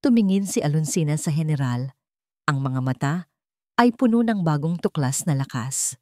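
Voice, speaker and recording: A woman with a Filipino accent narrating a scripted dramatic story in a measured, theatrical way, phrase by phrase. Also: deep voice, expressive, darkish tone, dry recording, studio quality.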